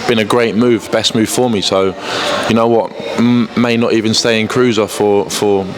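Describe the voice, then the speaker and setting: A man's voice: lowish voice, fairly even intonation, normal speed, noisy background. A 22-year-old man, a boxer chatting casually in an interview, repeating words and saying "you know what I mean".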